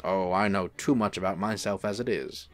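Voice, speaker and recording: An actor playing a suave, teasing angel in human form, smooth and courtly in a radio-style drama. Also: male voice, sits low, normal speed, fairly bright tone, faint music behind. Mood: charming, tender.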